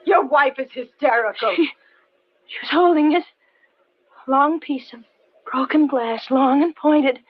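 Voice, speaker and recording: A woman's voice: high voice, measured pace, long pauses. An old woman, a suspicious stranger in a vintage radio suspense drama. She sounds defiant, accusing and menacing. The old recording is muffled. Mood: accusing, wary. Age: older. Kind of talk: 1940s radio thriller acting.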